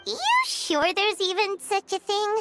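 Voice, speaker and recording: A woman voicing a tiny, excitable childlike fairy companion in a video game, with a squeaky voice. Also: measured pace. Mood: surprised, doubtful. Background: loud children's-style music.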